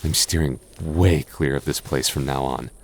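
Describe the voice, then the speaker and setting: Low-voiced young man, soft and halting. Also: vocal fry. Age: young adult. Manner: soft-spoken, halting, jokey and reassuring; slow measured reading of a rhyme. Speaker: boyfriend in roleplay audio. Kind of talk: ASMR boyfriend roleplay.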